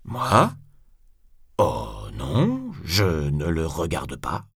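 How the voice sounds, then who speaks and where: A man's voice: very deep voice, wildly swooping pitch, extremely slow, fairly bright tone, breathy edge, no room sound, studio quality, pitched below the performer's own voice, heavily acted. A man voicing a timid, polite townsman, hesitant and meek, in a storybook. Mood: nervous, flustered.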